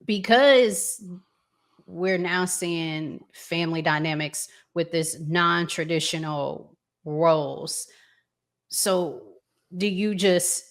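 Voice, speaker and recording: A woman hosting a podcast, putting questions in a casual, thoughtful and measured way. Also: lowish voice. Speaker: podcast host. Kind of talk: casual conversational interviewing.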